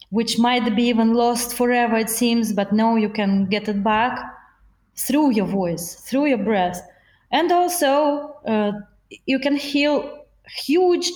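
A woman's voice: fairly high pitch, a little mellow, echoey room, rough recording. A woman with a Russian accent, a voice coach talking earnestly in an interview and laughing often. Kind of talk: podcast interview.

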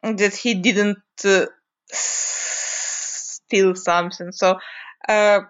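Slow hesitant woman, Ukrainian accent. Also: mid-range voice, fairly even intonation, smooth. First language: Russian. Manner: hesitant, many filler pauses while searching for words. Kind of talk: unscripted spoken monologue. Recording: dull lo-fi recording.

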